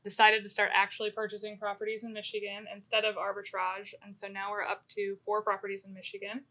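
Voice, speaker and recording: A woman's voice: medium pitch, monotone, fast, bright, forceful tone. A woman speaking as a podcast guest about her design business, bubbly and light. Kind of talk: casual podcast chat.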